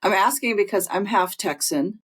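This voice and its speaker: A woman's voice: medium pitch, normal speed, fairly bright tone, slightly rough. A woman co-hosting a podcast, chatting casually, wandering off on tangents and laughing often. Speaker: podcast co-host, hospice nurse. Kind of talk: casual interview chat.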